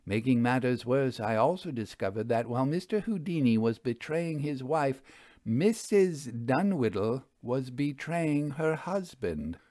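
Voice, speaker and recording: A man's voice: mid-range voice, expressive, a little slow, warm tone, no echo, clean studio sound, close to an everyday voice. A man voicing a forthright, wry woman ghost investigator in a storybook, with emphatic old-fashioned formal diction. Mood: matter-of-fact.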